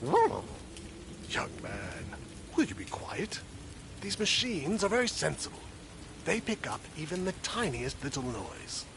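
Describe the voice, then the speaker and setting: A man's voice: fairly high pitch, wildly swooping pitch, measured pace, creaky, long pauses, background noise, exaggerated voice. A man voicing a fussy, irritable, self-important ghost hunter in a video game, given to exclaiming. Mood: irritated. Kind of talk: video game character dialogue.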